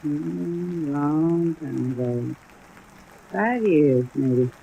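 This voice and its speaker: An actress playing a wary, curt rooming-house manager with an American accent and a gravelly voice in a 1950s radio drama. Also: female voice, deep voice, drawn-out words, full character voice. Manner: terse, suspicious, drawling replies. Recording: muffled old radio with steady rain noise.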